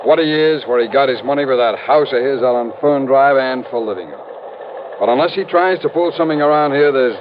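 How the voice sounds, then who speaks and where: An American man playing a plain-talking, wary police sergeant in a vintage radio drama, gruff and folksy. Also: medium pitch, brisk, lightly acted. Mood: frank, resigned. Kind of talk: 1950s-60s radio drama acting. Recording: noisy, dull vintage radio recording.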